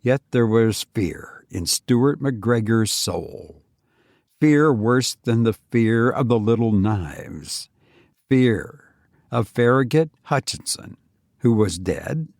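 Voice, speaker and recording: Slow, dramatic male story narrator. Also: medium pitch, expressive, creaky, a little mellow, no echo, clean studio sound. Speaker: audiobook narrator.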